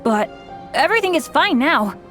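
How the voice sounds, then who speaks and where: A woman's voice: sits high, big pitch swings, moderate pace, over music. A woman voicing a friendly, modest teenage boy adventurer in a video game, sheepish, earnest and casual.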